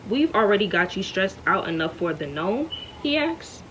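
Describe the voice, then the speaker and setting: A woman reading a chapter of a novel aloud at home in a smooth, steady way. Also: mid-range voice, moderate pace, noisy background. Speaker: read-aloud reader.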